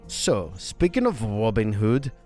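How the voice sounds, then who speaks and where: A man improvising a proud, grim Norwegian in medieval Nottingham, with a mock Norwegian accent and slow, halting deadpan delivery. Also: on the low side, soft background music.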